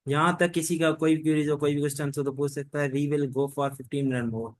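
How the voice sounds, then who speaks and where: A man's voice: a little high, flat delivery, moderate pace, no room sound. A man teaching a class in an informal, rambling lecture style, often repeating stock phrases. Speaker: teacher giving an online law class. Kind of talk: informal lecture mixing Hindi-Urdu and English legal terms.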